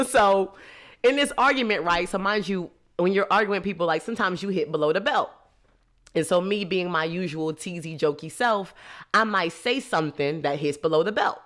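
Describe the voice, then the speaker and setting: A woman, hosting a podcast and explaining ideas in a lively, laughing, casual way. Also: on the low side. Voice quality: nasal. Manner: lively, laughs a lot, teasing. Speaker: podcast host. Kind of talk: casual teaching talk.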